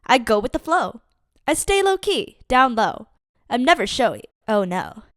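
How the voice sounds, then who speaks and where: Lively woman reading a kids' story. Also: a little high, a little slow, no echo, studio quality. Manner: lively, expressive reading for kids. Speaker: children's book reader. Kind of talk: read-aloud storytime.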